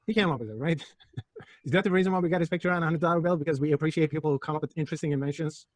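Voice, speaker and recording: Fast-talking, joking man from Turkey. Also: a little high, dry recording. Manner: joking, provocative, rhetorical questions. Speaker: physics lecturer. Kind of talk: classroom lecture with questions to students. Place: classroom.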